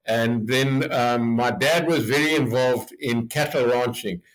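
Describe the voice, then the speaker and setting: A man in his late seventies from Zimbabwe, a guest telling his life story in a rambling, relaxed way. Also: medium pitch, measured pace, poor audio. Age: 70s. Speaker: hotel group chairman, guest. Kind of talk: interview storytelling.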